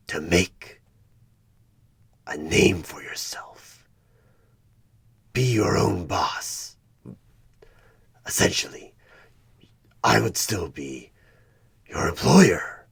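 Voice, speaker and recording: A man playing a sinister demonic tempter who poses as a job interviewer in a horror ASMR roleplay, coaxing with halting, menacing calm in a gravelly, breathy half-whisper. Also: rumbling low, full character voice. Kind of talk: horror ASMR one-sided audio roleplay.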